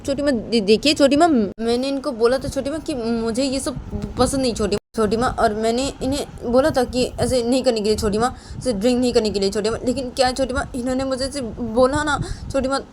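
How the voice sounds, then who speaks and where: A woman's voice: a little high, fairly even intonation, fairly quick, few pauses, darkish tone, a little hiss or hum, pitched above the performer's own voice, natural voice. A woman voicing an anxious young daughter-in-law in a story, stammering and repeating excuses. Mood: anxious, defensive. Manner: stammering, repetitive, self-excusing.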